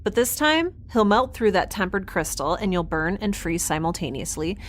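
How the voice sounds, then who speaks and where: A woman's voice: mid-range voice, moderate pace, slight background noise, lightly acted. An actress playing a quirky, stubborn young woman scientist in a spaceship audio drama, rambling and earnest. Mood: weary, threatening. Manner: rambling, babbling, animated, earnest.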